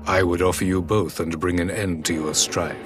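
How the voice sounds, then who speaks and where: An actor playing a cold, haughty emperor in a video game, speaking in formal, measured phrases. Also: male voice, deep voice, fairly even intonation, music in the background, lightly acted. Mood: disdainful. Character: imperious, cold emperor of a conquering empire.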